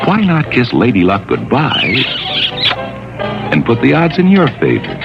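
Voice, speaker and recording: A man's voice: mid-range voice, wildly swooping pitch, a little slow, creaky, loud music behind the voice. An American man reading a vintage radio recruitment advertisement. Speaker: advertising announcer. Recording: dull vintage radio recording.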